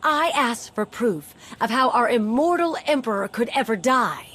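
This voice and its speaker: High-voiced, distressed refugee. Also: female voice, animated, normal speed, fairly bright tone. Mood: distressed, defensive. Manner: emotional, plain-spoken. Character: distressed, indignant female civilian refugee. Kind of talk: scripted video game drama acting. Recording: faint game ambience and noise.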